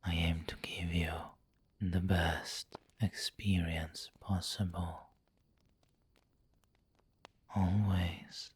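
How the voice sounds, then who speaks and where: A man playing a doting, refined vampire lord in an ASMR roleplay, speaking intimately in a breathy whisper with formal wording. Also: deep voice, little pitch movement, drawn-out words, pauses often, full character voice. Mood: patient, hungry.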